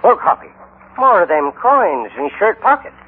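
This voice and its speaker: On a narrow-band, faintly noisy old radio recording, a comical cowboy sidekick with an American Western drawl. He is folksy, grumbling and excitable, and his pitch swings in a lively way. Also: normal speed. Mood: curious, alert. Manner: folksy, exclaiming. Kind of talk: 1950s radio Western acting.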